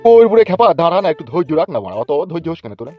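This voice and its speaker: A man's voice: fairly high pitch, sing-song, racing, highs cut off, soft background music. A man voicing an arrogant, short-tempered village politician in a comic cartoon, blunt and scolding, with a West Bengal accent. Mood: irritated. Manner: self-important, scolding, blunt.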